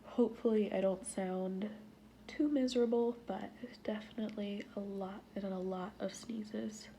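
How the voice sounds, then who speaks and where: A woman with a stuffy nose, talking casually to her viewers, breathy and often half-whispered between sighs. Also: medium pitch, steady tone, a little slow, dark tone, a little hiss or hum. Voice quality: stuffy nasal voice. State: stuffy nose, sniffling and sneezing. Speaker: vlogger. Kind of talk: casual talk to camera.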